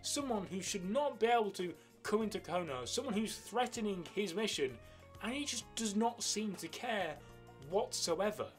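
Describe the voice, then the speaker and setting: A young man hosting an anime news vlog, giving excited fan commentary and pausing dramatically to stress key words. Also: high voice. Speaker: host of an anime news channel. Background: background music.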